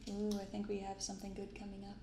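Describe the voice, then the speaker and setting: A soft-spoken woman hosting an ASMR video, reading aloud and commenting casually in a gentle, quiet delivery. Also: medium pitch, completely flat delivery, fast talker. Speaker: host of a card-opening channel. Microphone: binaural microphone. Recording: roomy sound with some background noise.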